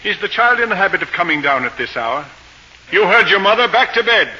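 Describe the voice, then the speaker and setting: Pompous, bright-voiced grumbling father. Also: high voice, sing-song, a little fast, husky, exaggerated voice. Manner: pompous, dryly sardonic, wordy. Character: pompous, grumbling middle-aged father of the household. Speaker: radio drama actor. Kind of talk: 1940s radio acting. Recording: dull, faintly noisy old radio recording.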